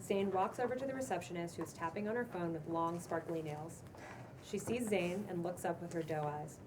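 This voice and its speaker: A woman's voice: on the low side, normal speed. A woman reading stage directions as scripted narration at a casual table read. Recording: distant room mic, clear background noise.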